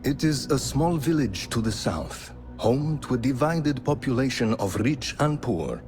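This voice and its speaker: A man's voice: medium pitch, animated, natural voice. A man voicing a grave, wise assassin mentor. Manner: measured, grave and advising. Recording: game audio with music.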